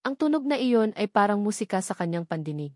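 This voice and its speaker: A woman's voice: mid-range voice, sing-song, brisk, a little mellow, no room sound, clean studio sound. A woman with a Filipino accent reads a dramatic romance story as scripted narration.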